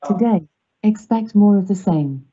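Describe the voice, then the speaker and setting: A synthetic woman's voice reading out as a smart speaker assistant, measured and impersonal. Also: medium pitch, warm tone, breathy edge. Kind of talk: synthetic forecast readout. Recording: dull smart speaker playback.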